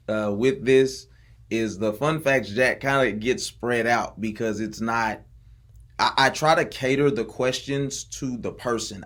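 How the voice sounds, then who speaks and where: Laid-back man hosting a podcast. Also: mid-range voice. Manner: laid-back, slangy, affirming. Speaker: podcast host. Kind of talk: casual chat.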